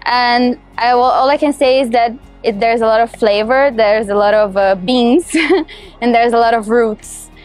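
A young woman from Brazil speaks English with a Brazilian Portuguese accent, her voice sitting fairly high. She answers questions cheerfully, laughing often and restarting her phrases. She is outdoors on a city terrace, speaking into a clip-on mic, with faint music underneath. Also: steady tone, a little slow, darkish tone. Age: young adult. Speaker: culinary student. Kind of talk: interview answers.

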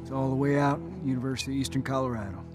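An actor playing an earnest, plain-spoken rancher and survivor in a video game drama. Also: male voice, mid-range voice, rapid-fire, natural voice. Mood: matter-of-fact. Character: earnest male rancher survivor, steady younger brother. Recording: dramatic guitar score behind dialogue.

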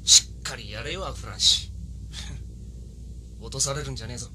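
An actor playing a rough, slangy young space pilot in a Japanese radio drama, loyal but given to griping. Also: male voice, mid-range voice, moderate pace, sharp tone, slight background noise. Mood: gruff, encouraging.

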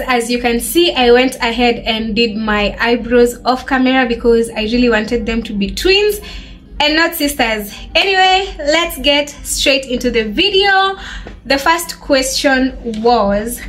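A woman in her twenties, chatting in a lively way to her webcam while she does her makeup and answers viewers' questions. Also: sits high, slow, low-quality recording. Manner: bubbly, animated, laughs often. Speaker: beauty vlogger. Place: small bedroom, indoors.

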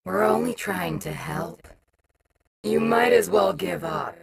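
Coaxing animatronic hunter, pushy, heavy creak, slow, taunting, video game. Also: female voice, fairly low pitch, expressive, crowd noise, heavily acted. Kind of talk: video game character acting.

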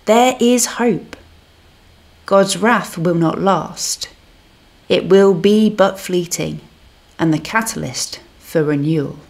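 Slow, low-pitched woman reading a reflection. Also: vocal fry, darkish tone. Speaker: minister giving a reflection. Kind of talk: scripted devotional reflection and prayer.

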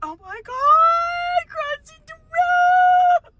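Gushing woman with a lively voice. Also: very high-pitched, drawn-out words, highs cut off, over loud music. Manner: gushing and excitable, breaks into shrieks. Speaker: travel vlogger. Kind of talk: casual road trip chat. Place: outdoors and in a car.

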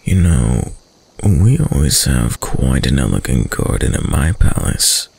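Very deep, gravelly seductive dark prince acted by a man. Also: sing-song, full character voice. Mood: courtly, flattering. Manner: slow, hushed, suave, deliberate. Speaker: voice actor. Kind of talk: intimate roleplay audio drama.

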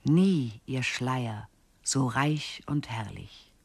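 Radio poetry voice: male, reverent and hymnic, long drawn-out phrases at slow pace. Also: mid-range voice, lively intonation, vocal fry, breathy edge, exaggerated voice. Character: solemn reciter of hymnic river poetry.

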